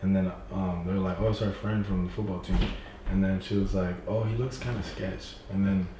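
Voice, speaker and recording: A man's voice: fairly even intonation, a little fast, recorded from a distance. Slangy young man, roomy and off-mic. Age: young adult. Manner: slangy, full of "you feel me", acts out quotes with big pitch jumps. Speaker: podcast guest. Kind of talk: casual chat. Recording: roomy, off-mic with faint noise.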